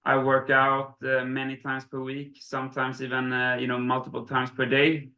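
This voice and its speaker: A man's voice: mid-range voice, completely flat delivery, normal speed, sharp tone. A man from Sweden talking as an interview guest, hesitant, with many restarts and fillers. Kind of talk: casual interview. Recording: roomy echo.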